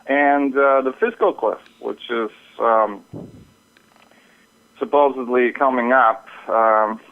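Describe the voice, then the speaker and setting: A man giving market commentary as an interview guest over the telephone, explaining things in a somewhat halting way. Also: medium pitch. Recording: phone line with narrow, radio-like sound.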